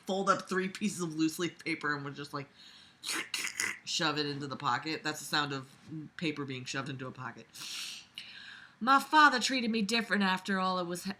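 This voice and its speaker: Sharp, bright-voiced exasperated young woman. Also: on the low side. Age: young adult. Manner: weary, exasperated, sweary. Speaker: vlogger reading fiction aloud and mocking it. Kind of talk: casual reading with sarcastic commentary. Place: small bedroom, lying on a bed.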